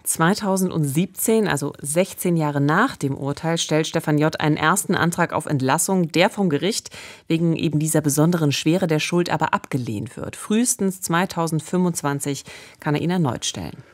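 Woman in her 40s with a low voice telling crime stories on a podcast, close studio mic. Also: moderate pace. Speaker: podcast host. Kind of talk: true-crime podcast narration. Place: small radio studio. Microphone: close studio microphone with pop filter.

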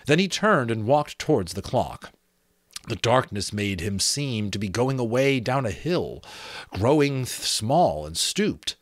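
A man in his thirties reads a story aloud as an audiobook narrator. His delivery is slow and very animated, at a medium pitch. The recording is close and dry. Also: dry recording. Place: small room with sloped ceiling, indoors. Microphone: close desk microphone.

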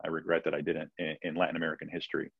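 A man's voice: a bass voice, little pitch movement, racing, gravelly, husky, no room sound. A man in his thirties from Peru, raised in Florida, a physician answering interview questions on a video call in a hesitant way, with many fillers and restarts. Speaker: physician guest. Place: home room, video call. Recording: dull lo-fi video call audio.

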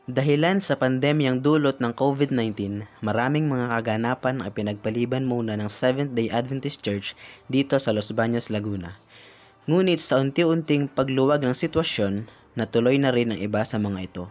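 A man's voice: mid-range voice, darkish tone. Young man reading a church news report. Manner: measured, formal reporting delivery. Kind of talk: scripted news voice-over. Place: church hall, indoors.

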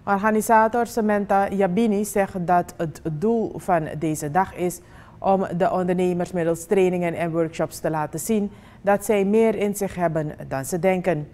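A woman's voice: lowish voice, steady tone, moderate pace, dark tone. A woman reading scripted news as a presenter, speaking with a Surinamese Dutch accent. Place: TV studio.

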